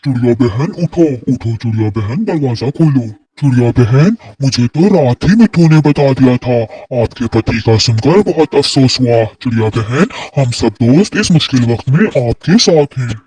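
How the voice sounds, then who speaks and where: A man's voice: medium pitch, animated, normal speed, hardly any pauses, mellow, slightly rough, no room sound. A man voicing a kind, supportive pigeon friend in a children's cartoon, speaking in an earnest, polite, consoling way.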